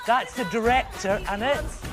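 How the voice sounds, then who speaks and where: A woman hosting a live stage interview, excited, gushing and exclamatory. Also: on the high side, moderate pace. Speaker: host. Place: theatre stage before an audience. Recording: loud music and noisy crowd behind.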